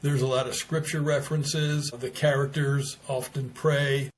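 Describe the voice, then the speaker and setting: A man's voice: mid-range voice, little pitch movement, measured pace, slight background noise. An older American man speaking conversationally as an interview guest, an author and retired teacher. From United States.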